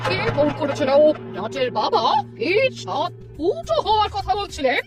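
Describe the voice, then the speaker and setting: A woman's voice: very high-pitched, very animated, normal speed, over loud music. A woman voicing a bossy, money-minded aunt in an exaggerated, scolding cartoon style. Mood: brisk. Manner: scolding, theatrical. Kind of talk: exaggerated Bengali cartoon dialogue.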